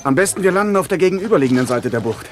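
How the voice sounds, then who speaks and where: A man's voice: high voice, animated, very fast, faint music behind. A young man playing an eager, headstrong young adventurer in a radio play. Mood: determined. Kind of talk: German radio play acting.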